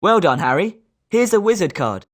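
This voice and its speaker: A woman's voice: medium pitch, big pitch swings, moderate pace. A woman with a British accent voicing a confident, sporting schoolboy wizard with a competitive streak in a video game. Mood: congratulatory. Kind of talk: scripted video game character lines.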